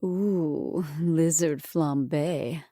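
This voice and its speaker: A woman playing a sultry, haughty vampire horror hostess with a deep, silky, commanding delivery in a dramatic audiobook. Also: extremely slow, warm tone, no echo, studio quality, heavily acted. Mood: sultry, indulgent. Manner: theatrical, commanding.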